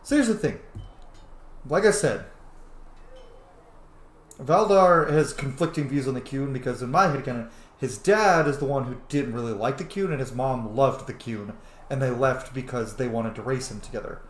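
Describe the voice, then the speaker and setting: A man's voice: mid-range voice, normal speed. A man giving informal, slangy and sweary commentary while playing a roleplaying game. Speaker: game streamer. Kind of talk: casual roleplay playthrough commentary. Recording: roomy echo, faint background noise.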